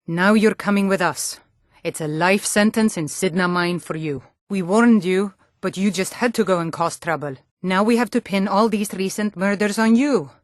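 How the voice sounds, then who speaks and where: A woman's voice: on the low side, no echo, clean studio sound, lightly acted. A woman playing a hard, corrupt city guard in a fantasy game, speaking in a curt, threatening, authoritative way. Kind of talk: fantasy video game dialogue.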